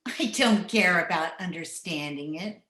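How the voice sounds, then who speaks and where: A woman's voice: on the low side, unhurried, bright, forceful tone. A woman playing a bitter, selfish old frontier widow in an old-time radio western, with taunting, rustic phrasing. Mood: mocking. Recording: roomy sound, recorded over internet call.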